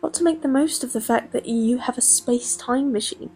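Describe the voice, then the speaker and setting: A woman voicing a grieving young woman, subdued, halting, husky, gravelly, higher pitch, audio drama. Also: warm tone, soft background music.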